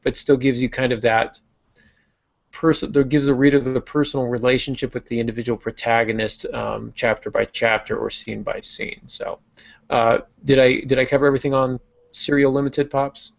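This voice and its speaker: A man co-hosting a writing podcast, talking in a relaxed, chatty way with frequent restarts. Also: medium pitch, normal speed, vocal fry. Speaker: podcast co-host. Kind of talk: casual writing craft chat. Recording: dull lo-fi podcast audio.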